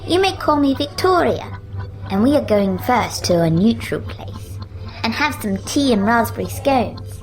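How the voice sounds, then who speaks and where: A woman's voice: on the high side, animated, a little slow, voice pushed up, low-quality recording. A woman voicing a knowing, eerie little girl with a posh upper-class English accent, prim and teasing.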